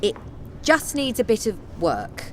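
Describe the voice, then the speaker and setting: An actress playing a brisk, decisive female theatre director in a radio drama. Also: mid-range voice, wildly swooping pitch, fairly bright tone, slight background noise. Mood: earnest, persuasive. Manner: commanding, decisive.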